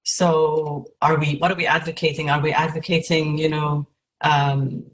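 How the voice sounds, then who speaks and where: A woman from Egypt giving a lecture over a video call, measured and with many fillers and restarts. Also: low voice, little pitch movement, a little hiss or hum, poor audio. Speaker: keynote speaker, patient advocate.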